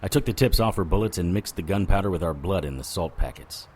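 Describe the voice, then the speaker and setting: A man's voice: deep voice, lively intonation, fast, darkish tone, slightly rough. An actor playing a wry, world-weary occult detective who narrates his own story in a noir-style audio drama. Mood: grim narration. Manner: wisecracking, sardonic. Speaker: lead actor and first-person narrator.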